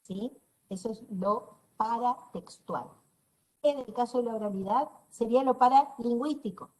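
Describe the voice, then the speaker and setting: A woman's voice: a little high, normal speed, a little mellow, low-quality recording. A middle-aged woman from northeastern Argentina with a northeastern Argentine accent, giving an online class lecture over a video call. She explains step by step, keeps checking in with her listeners and drops in dry, teasing asides. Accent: northeastern Argentine Spanish. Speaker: university lecturer.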